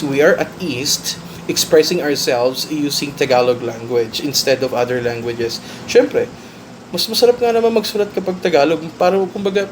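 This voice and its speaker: A man's voice: medium pitch, noisy background. A man with a Filipino accent giving a recorded class lecture, often mixing in Tagalog and ending points with "no?". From Philippines. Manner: switches into Tagalog, tags statements with "no?". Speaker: teacher.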